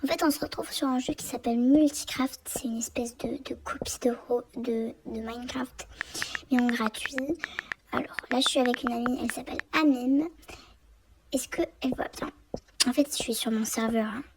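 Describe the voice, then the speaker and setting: Hoarse, half-whispering young French girl. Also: a little high, steady tone, normal speed, background noise. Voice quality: often half-whispered, childlike voice. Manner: rambling, hesitant, many fillers and restarts. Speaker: game channel host. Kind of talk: casual game tour commentary.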